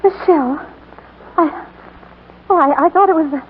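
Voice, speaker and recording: A woman's voice: high voice, moderate pace, lightly acted. An actress playing a brave, tender young woman hiding a secret in sentimental 1940s radio drama. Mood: tender, guarded. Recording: scratchy, tinny 1940s radio broadcast.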